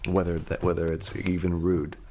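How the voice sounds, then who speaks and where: A man's voice: low voice, flat delivery, normal speed, background noise. A man in casual podcast banter, putting in short, matter-of-fact remarks.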